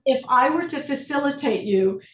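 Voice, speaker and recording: A woman facilitating an online group session, guiding people through questions in a calm, slow, deliberate way. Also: sits high, roomy sound. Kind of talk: guided inquiry on a group video call.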